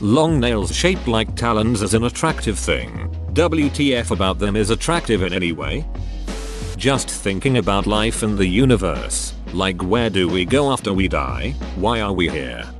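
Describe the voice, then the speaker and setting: A man's voice: on the low side, very animated, moderate pace, over music. A man reading out internet forum posts as scripted narration, delivered in short separate statements.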